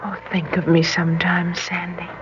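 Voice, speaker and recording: Woman playing young American heroine, intense, breathy, heartfelt, old radio drama, muffled recording, music behind. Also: fairly low pitch, monotone, normal speed, natural voice. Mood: tender. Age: young adult. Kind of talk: classic radio drama acting.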